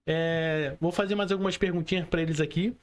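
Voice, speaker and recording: Male podcast host, Brazilian, notably high voice, informal interview style with frequent tag questions. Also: steady tone, brisk. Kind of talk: casual online interview hosting. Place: remote video call.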